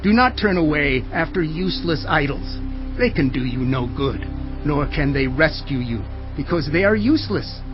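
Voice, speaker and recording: An actor playing an old gray prophet, solemn and emphatic, declaiming in a dramatized audio Bible. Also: male voice, on the high side, animated, normal speed, highs cut off, music in the background, lightly acted. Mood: earnest, reassuring. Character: old gray prophet, stern, solemn leader addressing his people.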